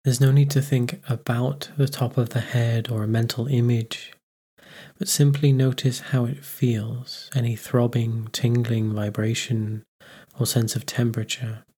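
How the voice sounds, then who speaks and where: A man guiding a meditation, giving gentle instructions with unhurried pauses. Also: medium pitch, little pitch movement, slightly rough. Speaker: meditation guide. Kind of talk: guided meditation narration.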